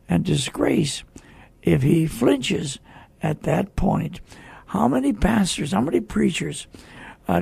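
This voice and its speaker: Male host-preacher, high-pitched and heavily rasping, emphatic conversational delivery, dry close sound. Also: dark tone, dry recording. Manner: emphatic, spells out and repeats key words.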